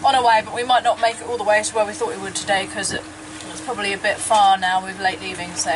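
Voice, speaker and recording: A woman's voice: mid-range voice, fairly even intonation, fast talker, noisy background. A woman in her thirties with an English accent, chatting and narrating casually as a sailing vlogger on a handheld camera microphone. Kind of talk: casual vlog chat and narration. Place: aboard a small sailing boat outdoors.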